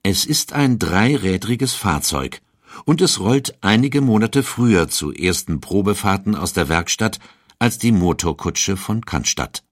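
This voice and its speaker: A man's voice: fairly low pitch, animated, a little slow, no room sound, studio quality. A man narrating a scripted documentary.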